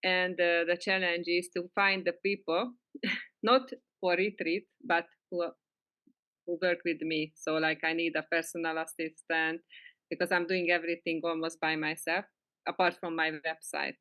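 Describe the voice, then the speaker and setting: A middle-aged woman with a Hungarian accent, sharing casually on a call in halting, giggly non-native English. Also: on the low side, little pitch movement, fairly bright tone, clean tone, no room sound. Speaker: spiritual teacher, client on a coaching call.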